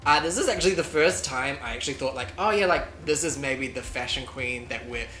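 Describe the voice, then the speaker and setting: A co-host from the UK, giving quick casual verdicts in a review chat, with nitpicking asides. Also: mid-range voice, animated, a bit bright, faint music behind. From United Kingdom. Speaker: co-host of a drag show review. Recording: echoey room.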